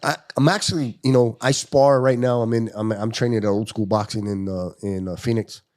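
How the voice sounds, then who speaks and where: Lively older man telling fight stories. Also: lowish voice, brisk. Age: 50s. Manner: animated storyteller, acts out quotes. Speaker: guest. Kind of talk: casual chat.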